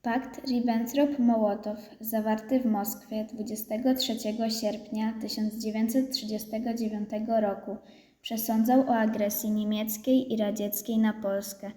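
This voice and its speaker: A woman's voice: fairly high pitch, level tone, normal speed, warm tone. A woman reading scripted historical narration in a formal, solemn manner. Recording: echoey room.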